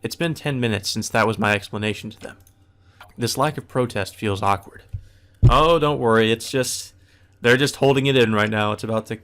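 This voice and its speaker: A man's voice: medium pitch, fairly bright tone. A man reading a visual novel aloud as a let's player, mixing plain narration with casual, wry asides. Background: music.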